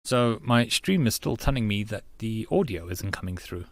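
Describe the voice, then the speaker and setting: A man's voice: on the low side, expressive, moderate pace, no echo, studio quality. A man streamer talking casually in muttered, offhand asides during a live reading stream. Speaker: streamer reading a visual novel aloud.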